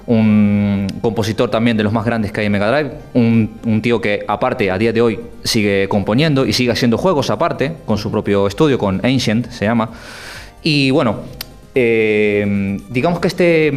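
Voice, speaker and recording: A deep, smooth man from argentina on a radio gaming podcast, stops and restarts a lot, chatty and storytelling, faint music under it. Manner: halting, restarts, animated storytelling. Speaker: podcast guest, game music commentator. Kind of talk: casual radio podcast chat.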